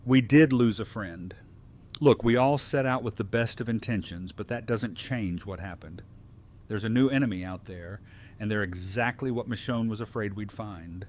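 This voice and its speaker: A man's voice: on the low side, normal speed, darkish tone, a little hiss or hum, close to an everyday voice. A man playing a sober, loyal and resolute council member in an audio drama. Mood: somber. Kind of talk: full-cast audio drama acting.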